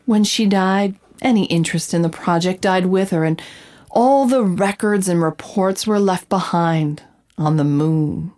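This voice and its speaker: Woman playing a wry, low-pitched investigative reporter. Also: a little slow, a little mellow, lightly acted. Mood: matter-of-fact narrating. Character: confident, sly female investigative reporter narrating her case. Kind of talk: noir-style scripted audio drama narration and dialogue.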